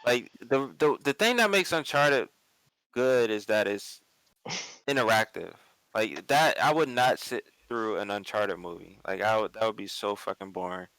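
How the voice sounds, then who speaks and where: A man's voice: fairly low pitch, expressive, moderate pace, fairly bright tone, no echo, low-quality recording. A man talking casually as a panelist in a group discussion, emphatic and opinionated, repeating himself for stress. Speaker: panelist on an online pop culture show.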